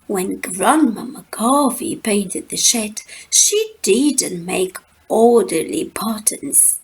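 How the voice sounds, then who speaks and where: A woman narrates a rhyming children's picture book in a lilting, very animated voice. She takes her time, stretching words and leaving dramatic pauses. A faint noise sits behind the reading. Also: medium pitch. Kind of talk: expressive rhyming storybook narration.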